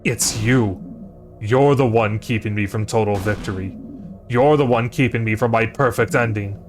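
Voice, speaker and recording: A man voicing a cruel, gloating villain king who talks slowly and quietly in threats. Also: deep voice, steady tone. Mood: menacing. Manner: slow, hushed, threatening. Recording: music behind voice.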